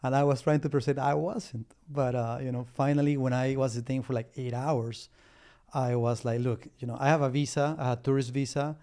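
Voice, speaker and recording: A man's voice: mid-range voice, fairly even intonation, moderate pace, dark tone. A middle-aged man from Peru, a business litigation lawyer, talking as an interview guest, whose first language is Spanish, with frequent restarts and fillers. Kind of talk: casual interview talk.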